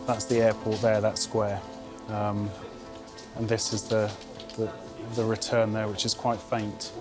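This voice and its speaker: A man's voice: on the low side, monotone, a little slow, over music. A man explaining a radar screen with hesitant fillers. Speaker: air traffic controller.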